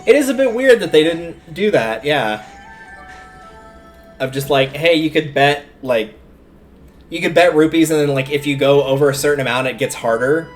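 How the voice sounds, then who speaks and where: A man's voice: on the high side, normal speed, pauses often, faint music behind. A man giving casual, chatty, opinionated live commentary as he streams a video game, rambling off into asides. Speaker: game livestreamer.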